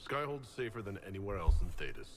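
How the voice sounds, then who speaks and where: A male actor playing a calm, grave hero in a fantasy video game, speaking in a measured, direct way. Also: fairly low pitch, roomy sound, a little hiss or hum. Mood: calm, serious.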